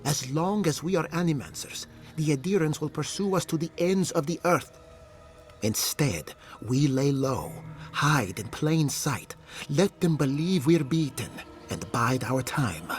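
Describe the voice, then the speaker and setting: An actor playing a wary, grave animancer in a video game, speaking in a measured, formal way. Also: male voice, on the high side, lively intonation, soft background music. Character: wary, grave male animancer favouring caution. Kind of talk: scripted video game dialogue.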